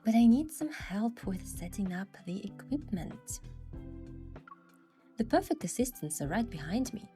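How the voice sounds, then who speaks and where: A woman playing a gentle, thoughtful young heroine, narrating her game story softly and in measured scripted first person. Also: mid-range voice, very animated, soft background music.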